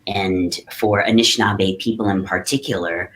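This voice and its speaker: Soft-spoken man with a low voice. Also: steady tone, moderate pace, a little hiss or hum, rough recording. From Great Lakes region, North America. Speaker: guest artist, hoop dancer. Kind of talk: conversational interview, storytelling.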